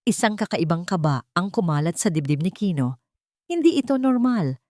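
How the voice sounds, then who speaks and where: A woman's voice: fairly low pitch, sing-song, normal speed, mellow, no echo. A woman narrating a scripted dramatic family story as a storyteller.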